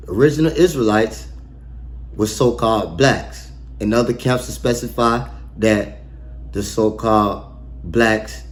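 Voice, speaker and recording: A man's voice: on the low side, a little mellow. A man reading scripture verse by verse and explaining it in casual, slangy, emphatic talk with rhetorical questions. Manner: asks rhetorical questions and pauses for effect. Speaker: online bible teacher. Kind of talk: casual verse-by-verse bible breakdown.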